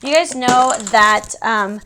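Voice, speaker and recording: A woman's voice: fairly high pitch, slow pace, background noise. A young woman from Mexico City and Houston whose first language is Spanish, chatting casually and candidly as a beauty YouTuber answering viewers. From born in Mexico City, raised in Houston, USA. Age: young adult. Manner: candid, informal, conversational asides.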